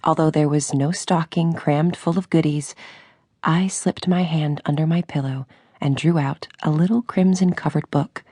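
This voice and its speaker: An actress playing a grown daughter who recalls her girlhood in measured, reflective radio drama narration. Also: low voice, steady tone, dark tone, breathy edge, no echo, studio quality. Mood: fond, reflective.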